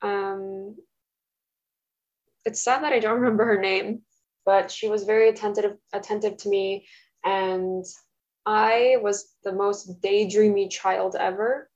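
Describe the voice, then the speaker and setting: Young woman, russian first language, lots of ums, thinking out loud, kinda muffled recording. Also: medium pitch, fairly even intonation, a little slow. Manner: hesitant, thoughtful, many fillers. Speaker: interviewee. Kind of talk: casual interview. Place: home, roommate nearby. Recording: dull lo-fi recording.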